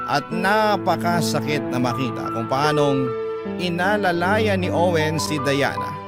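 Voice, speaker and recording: A Filipino man reads a dramatic story in the first person, in classic radio narration style. His voice sits fairly high, with loud music playing behind him.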